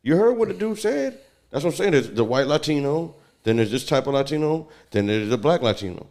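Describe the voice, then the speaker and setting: A man's voice: a little high, animated, brisk, darkish tone. A Puerto Rican man co-hosting a casual podcast chat, who talks in a blunt, profane way. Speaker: podcast co-host.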